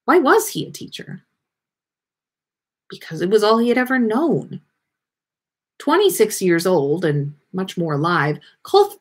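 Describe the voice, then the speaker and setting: A woman reads fiction aloud in a lively, widely swooping voice with a husky edge. She leans into key words theatrically and now and then trips over a phrase. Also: medium pitch. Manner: animated, theatrical emphasis with occasional stumbles. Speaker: podcast host reading listener fan fiction aloud. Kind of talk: expressive story reading on a livestream.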